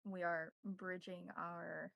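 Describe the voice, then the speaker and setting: Woman doing a courteous cryptic vampire priest for a tabletop game, formal n measured, creaky, very dry sound. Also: lowish voice, flat delivery, no echo, lo-fi sound. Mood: solemn, explaining. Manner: formal, measured, halting phrasing.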